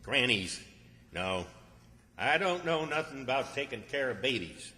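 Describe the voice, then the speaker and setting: A man's voice: mid-range voice, very animated, measured pace, sharp tone. A man playing an exasperated, scheming country fellow in old-time radio comedy style, with a folksy, fussy manner. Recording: reverberant hall stage recording.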